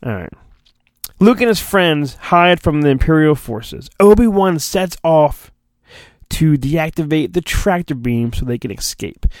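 Man, podcast host, theatrical storybook reading, sarcastic rambling asides, very lively intonation, dry close sound. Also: fairly high pitch, measured pace, no room sound. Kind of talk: mock-dramatic storybook reading with casual riffing.

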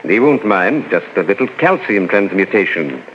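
An actor playing a calm, arrogant mad genius scientist with a cool, condescending, deliberate manner in a 1940s radio horror drama. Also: male voice, fairly low pitch. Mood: coolly commanding. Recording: noisy, dull old 1940s radio recording.

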